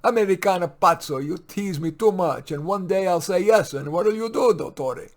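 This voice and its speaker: A man's voice: high voice, normal speed, pitched above the performer's own voice, lightly acted. A man putting on a teasing Italian maid. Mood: playful. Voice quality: giggly, animated. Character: chatty Italian housemaid, older woman, teasing.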